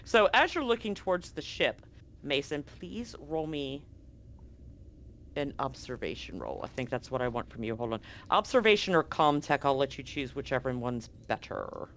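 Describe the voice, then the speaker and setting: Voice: medium pitch, very animated, normal speed, fairly bright tone. A game master narrating a live-streamed tabletop roleplaying game in an informal, conversational way, thinking aloud.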